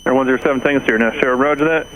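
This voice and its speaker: A man's voice: medium pitch, steady tone, rapid-fire, a crowd in the background. A man working as an airport tower controller, giving clipped instructions over an aviation radio. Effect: aviation radio transmission. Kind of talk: rapid clipped radio air traffic instructions. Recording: noisy air traffic radio audio.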